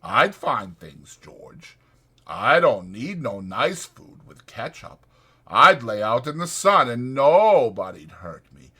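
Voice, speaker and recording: A man's voice: mid-range voice, sing-song, slow, sharp tone, husky, full character voice. A man voicing a timid, childlike, simple-minded man who pleads naively in simple rural American speech. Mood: wounded.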